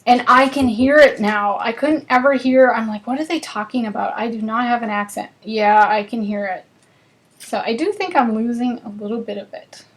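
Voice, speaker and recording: Woman, northern US accent, bubbly live craft-class host, slightly high voice. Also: normal speed, poor audio. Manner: chatty and bubbly, chatting with viewers while working.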